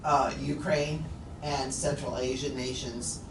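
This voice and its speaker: A woman's voice: rumbling low, fairly even intonation, slow. A woman projects her voice confidently as she addresses the speaker from the audience. She sounds far off in a roomy hall with background noise. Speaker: university associate rector, audience member. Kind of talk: asking a question from the floor. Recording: echoey room from far off, noisy.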